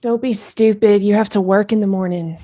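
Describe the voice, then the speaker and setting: A woman's voice: medium pitch, fairly even intonation, moderate pace, mellow, lightly acted. A woman acting a scolding, unimpressed live-in girlfriend in a scripted table read. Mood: nagging, unimpressed.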